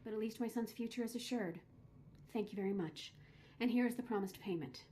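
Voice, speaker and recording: A woman's voice: a little high, brisk, background noise, close to an everyday voice. An actress playing an imperious, cold but doting mother in a video game, with haughty, dramatic delivery. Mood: composed, cold.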